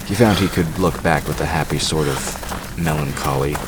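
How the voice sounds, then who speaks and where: Very deep-voiced man narrating, ambience behind. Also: moderate pace, noisy background. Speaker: audio drama narrator. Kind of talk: scripted fantasy story narration.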